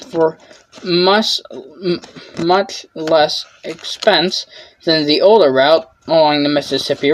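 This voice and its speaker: A woman's voice: lowish voice, poor audio. A young woman presenting a history lesson from a script, stumbling and restarting now and then as her voice wears out. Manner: reads carefully with frequent stumbles and restarts. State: losing her voice.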